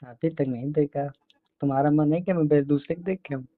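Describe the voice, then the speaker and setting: A man's voice: fairly high pitch, steady tone, a little fast, dry recording. A man chatting casually and intimately on a phone call, in a coaxing, teasing manner. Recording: tinny, band-limited phone call recording.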